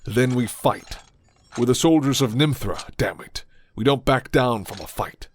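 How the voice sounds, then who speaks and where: A man voicing a defiant soldier captain in a dramatic roleplay, gruff, forceful and barking. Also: medium pitch, a little slow, natural voice. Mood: commanding.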